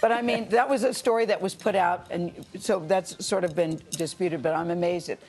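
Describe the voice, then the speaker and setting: A woman's voice: lowish voice, normal speed, a little mellow, slightly rough. A woman hosting a talk show interview in an archive clip, chatty, joking and curious with her guest.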